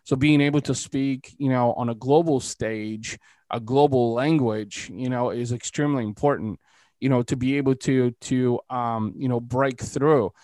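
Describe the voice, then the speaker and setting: A man's voice: medium pitch, steady tone, normal speed, a little mellow, no room sound. A man from Eastern Europe hosting a podcast interview in a casual, rambling way, sprinkling his talk with filler phrases. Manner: rambling, frequent "you know". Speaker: podcast host, entrepreneur.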